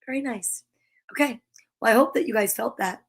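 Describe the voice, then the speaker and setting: A woman's voice: on the high side, moderate pace, vocal fry, slightly rough. A woman hosting a live children's story time, reading aloud and chatting to viewers with playful, encouraging exclamations. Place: at home.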